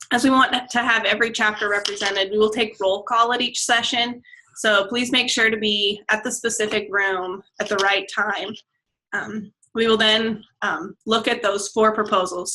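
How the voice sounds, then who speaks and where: Bright-voiced young woman, reassuring tone. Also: medium pitch, steady tone, moderate pace, a little hiss or hum, low-quality recording. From Nebraska. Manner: friendly, reassuring, with frequent "um"s. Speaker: state FFA officer. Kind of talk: explaining procedures on a conference call.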